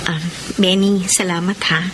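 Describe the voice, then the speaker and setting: A woman voice actor playing a married woman quietly torn by love, in a radio drama with music under her voice. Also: on the low side, little pitch movement, normal speed, background noise, natural voice. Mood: tender.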